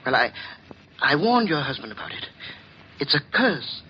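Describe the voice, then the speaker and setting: An actor playing a meek, superstitious servant in an old radio horror drama, hesitant and deferential, with evasive stammers. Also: male voice, a little high, very animated, normal speed. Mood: evasive, flustered. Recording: muffled, noisy vintage radio recording.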